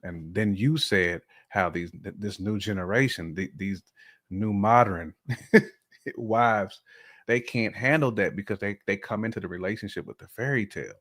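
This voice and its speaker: A man's voice: on the low side, moderate pace, a bit bright. A man talking casually as a podcast guest, in a colloquial, slangy way with frequent check-in phrases. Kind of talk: casual chat, telling personal views.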